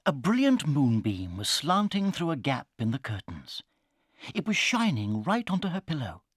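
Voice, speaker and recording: A man with a British accent narrating a dramatized children's story in a suspenseful, theatrical way that can drop toward a hush. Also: on the high side, huge pitch swings, normal speed, husky, dry recording, studio quality. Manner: dramatic, suspenseful, hushing to near whispers.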